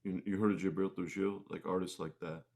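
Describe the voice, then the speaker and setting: A man's voice: low voice, flat delivery, a little fast, gravelly, darkish tone, a little hiss or hum. A man talking casually as an interview guest, restarting and repeating phrases as he explains things. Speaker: guest, singer-songwriter and musician.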